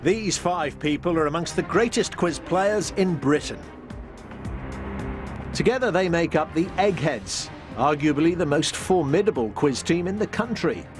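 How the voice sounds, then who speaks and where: A man hosting a TV quiz show in a jovial, upbeat way, joking with the contestants between questions. He reads each question and its answer options slowly and clearly, with some quiet music under the show. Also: on the high side, expressive. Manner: jovial, reads questions deliberately, chuckles at his own puns. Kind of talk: TV quiz hosting, scripted intro and banter.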